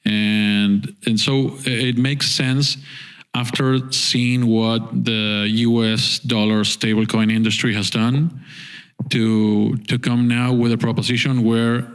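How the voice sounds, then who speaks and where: A man's voice: medium pitch, flat delivery, takes it slowly, clean tone. A man speaking on a conference panel, earnest and repeating words as he works out his points. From Argentina.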